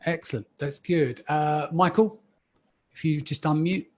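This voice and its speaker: A man hosting a live podcast panel, chatty and relaxed with frequent ums. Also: a little high, measured pace. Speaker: podcast host.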